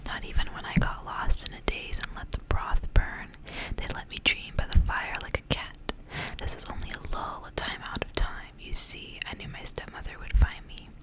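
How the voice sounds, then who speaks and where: A woman's voice: normal speed, rough recording. Whispering woman reading a story, ASMR style. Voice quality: breathy unvoiced whisper.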